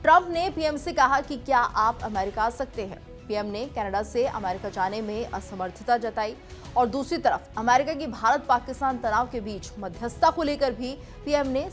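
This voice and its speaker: A woman reads a scripted news report as a TV presenter. Her voice sits fairly high in pitch. Light music plays beneath her narration.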